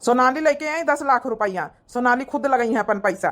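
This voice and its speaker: A woman plays a grown village son in an Awadhi cartoon comedy. The voice is on the high side. He comes across as earnest and protective. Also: expressive, normal speed, a bit bright. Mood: firm, reproachful. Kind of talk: Hindi cartoon comedy acting.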